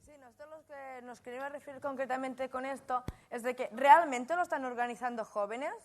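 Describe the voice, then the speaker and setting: A woman's voice: high voice, brisk, a little hiss or hum. A young woman on a youth panel, not a native speaker of Spanish, answering frankly and directly. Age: young adult.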